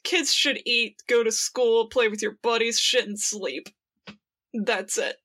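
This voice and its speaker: A woman's voice: fairly high pitch, fairly even intonation, slow pace, fairly bright tone. A woman acts out a shady gangster big brother from an audio drama. He is brusque and scolding, laying down blunt orders to a younger kid. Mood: firm scolding.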